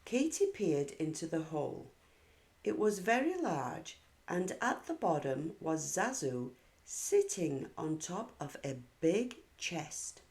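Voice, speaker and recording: Female children's read-aloud narrator: expressive, unhurried, low-toned, telling a story to little kids. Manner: measured, animated storytelling for young children.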